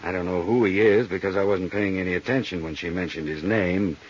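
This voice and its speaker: Casting: chatty middle-aged American male accountant, somewhat deep husky voice, folksy and rambling, muffled vintage radio sound. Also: a little fast. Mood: chatty, explaining. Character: big, easygoing, chatty male accountant in his late forties. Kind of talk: 1950s radio drama acting. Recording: dull, muffled 1950s radio recording.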